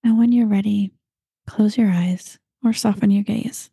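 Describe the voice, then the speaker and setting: A woman guiding a meditation, talking slowly and calmly and at times dropping into breathy whispers. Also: mid-range voice, no echo, studio quality. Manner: slow, soft and calm, drifting into breathy whispers. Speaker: meditation guide. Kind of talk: guided meditation.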